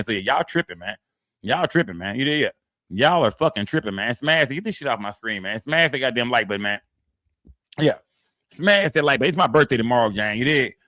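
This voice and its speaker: A man's voice: medium pitch, a bit bright, dry recording, clean studio sound. A man in his forties hosting a live stream in loose, profane casual chat. He reads the chat aloud and repeats his phrases, tagging them with "man" and "fam.". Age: 40s.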